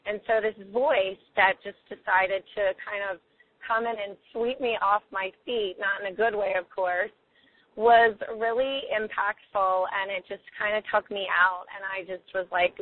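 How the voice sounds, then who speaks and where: A hoarse woman hosting a call-in coaching show, chatty and open, with a lot of "like" and "you know". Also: medium pitch, fairly even intonation, a little slow. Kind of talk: casual hosting on a phone and webcast call. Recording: tinny phone line.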